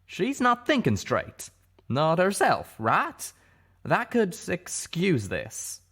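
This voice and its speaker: Man doing a loud, high, hot-headed cowboy pegasus. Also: huge pitch swings, slow pace, slightly rough, heavily acted. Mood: desperate. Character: hot-headed young male pegasus cowboy, indignant and desperate.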